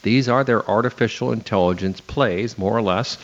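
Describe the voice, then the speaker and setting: Low-voiced male radio host, slightly dull sound. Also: normal speed. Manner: chatty, folksy, acts out quotes and rhetorical questions. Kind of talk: conversational talk radio hosting.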